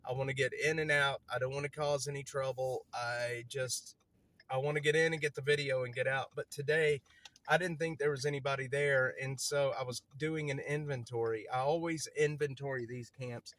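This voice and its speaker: A man's voice: medium pitch, fairly even intonation, normal speed, a bit bright, no echo. A man with a stutter, chatting casually on a livestream from a city street while moving around, rambling and repeating himself.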